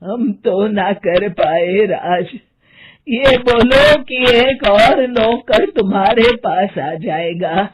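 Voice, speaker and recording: A woman's voice: medium pitch, drawn-out words, very noisy. A woman voicing a suspicious, henpecked middle-aged father in a cartoon, talking in a sardonic mutter.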